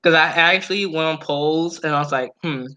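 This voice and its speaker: A man's voice: fairly high pitch, moderate pace, bright, forceful tone, smooth. A young man co-hosting a podcast in casual, laid-back, slangy chat. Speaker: podcast co-host, visual novel creator. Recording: dull lo-fi online voice chat.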